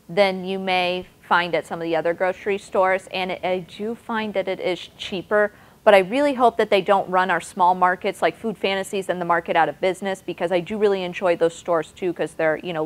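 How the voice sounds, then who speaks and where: A woman presenting on nutrition, answering audience questions in a chatty way with lots of "like" and "you know". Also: fairly low pitch. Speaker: nutrition presenter at a clinic talk. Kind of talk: audience Q&A session.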